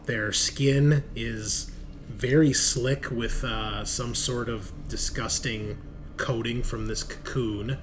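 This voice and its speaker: A man acts as game master for a tabletop roleplaying session. He speaks very slowly at a middling pitch, describing things in a halting, improvised way. There is clear noise behind his voice on the online call. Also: fairly even intonation. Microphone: online group call.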